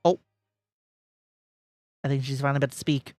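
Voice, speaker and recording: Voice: mid-range voice, fairly even intonation, normal speed, darkish tone, no echo, faint music behind. A streamer giving casual, irreverent commentary on a game, joking in loose slang.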